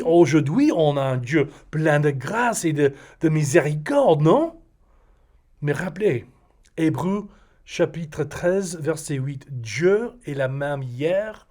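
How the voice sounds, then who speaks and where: A middle-aged Texan man, a native English speaker, preaching slowly from a script in careful, halting French. His voice sits a bit high and he pauses often to find his words. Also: darkish tone. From Texas. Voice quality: halting non-native French with frequent hesitations. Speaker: pastor. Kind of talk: recorded video sermon read from a script.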